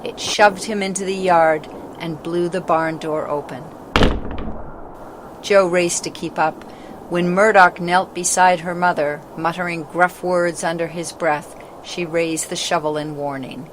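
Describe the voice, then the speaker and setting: Low-voiced woman reading a storybook. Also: fairly even intonation, unhurried. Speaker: storybook narrator. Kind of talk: scripted storybook narration. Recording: clearly noisy background ambience.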